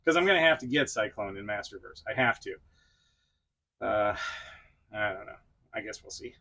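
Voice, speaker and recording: Bright-voiced man chatting casually. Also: on the low side, animated. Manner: chatty, self-deprecating, quick to chuckle. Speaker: toy review channel host. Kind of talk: casual vlog-style review to camera.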